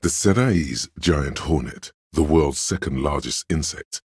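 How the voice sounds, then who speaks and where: An erudite, all-knowing inner voice from a narrative video game. He is extremely deep and heavily husky, with a gravelly rumble. The delivery is measured and dryly sardonic, like scripted encyclopedia narration. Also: lively intonation, a little mellow, no echo, clean studio sound, heavily acted.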